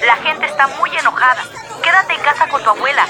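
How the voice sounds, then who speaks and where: Protective worried mom, radio soap, high voice, firm n pleading, people noise behind. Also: female voice, lively intonation, fast, over music, a crowd in the background. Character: protective, worried mother giving firm orders. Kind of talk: radio drama acting.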